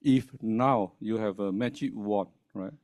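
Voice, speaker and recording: A man's voice: on the low side, measured pace, darkish tone, dry recording, clean studio sound. A man from the audience asking the panel a question, casual with tag questions.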